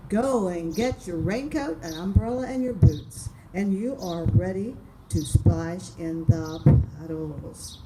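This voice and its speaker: A woman's voice: on the low side, slow, few pauses. A woman reading picture books aloud to young children, stretching words for drama and often stopping to ask them simple questions. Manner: asks the listeners frequent simple questions, dramatic word stretching. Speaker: children's storytime reader. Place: outdoors among flowers and open sky. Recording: outdoor recording with loud birdsong and noise.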